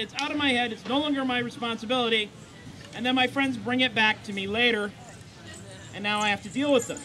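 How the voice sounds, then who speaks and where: A man's voice: extremely high pitch, sharp tone. A man, a comedy songwriter, doing rambling, self-deprecating banter between songs at a live show. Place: live stage show before an audience. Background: guitar playing.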